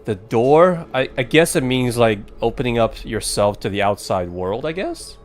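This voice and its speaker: A man doing casual live commentary as he plays a game, chatty and wry with halting reactions. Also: medium pitch, lively intonation. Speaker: game streamer. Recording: faint game music behind.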